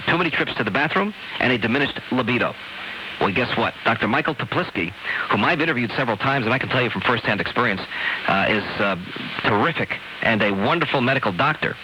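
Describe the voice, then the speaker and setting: A man reading sponsor spots on the radio in a sales-pitch style. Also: pushed and bright, rough recording. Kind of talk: live-read radio advertising. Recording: hissy shortwave radio cassette.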